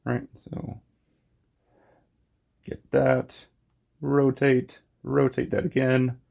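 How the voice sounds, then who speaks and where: A man giving a casual, unscripted hands-on toy review, talking in short, halting phrases on a slightly muffled recording. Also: medium pitch, completely flat delivery, creaky. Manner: halting, short phrases while handling objects.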